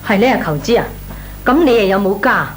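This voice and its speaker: An actress playing a nosy, goading neighbourhood housewife in a Cantonese comic radio drama, with colloquial, exclamatory talk. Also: female voice, mid-range voice, expressive, fast, soft background music, lightly acted. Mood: coaxing. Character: nosy neighbourhood married woman, coaxing and teasing. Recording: noisy, humming radio recording.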